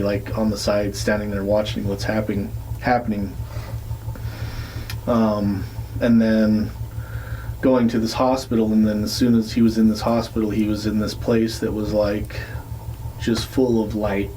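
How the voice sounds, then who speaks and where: Low-voiced man telling a rambling story. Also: dark tone, slight background noise, poor audio. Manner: rambling storytelling with many fillers. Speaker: podcast co-host. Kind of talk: casual chat.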